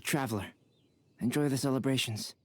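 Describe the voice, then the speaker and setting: A man voicing a calm, cerebral young male alchemist in a video game, with a composed, precise delivery. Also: mid-range voice, a little fast, a little hiss or hum, close to an everyday voice. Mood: calm, assured.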